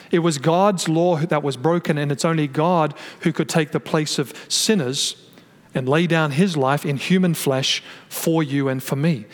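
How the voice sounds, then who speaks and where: Man preaching in a reverberant hall. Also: fairly high pitch. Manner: teaching from notes, explanatory, with frequent restarts. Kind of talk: sermon. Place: church hall with a sound system. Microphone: microphone through a PA system.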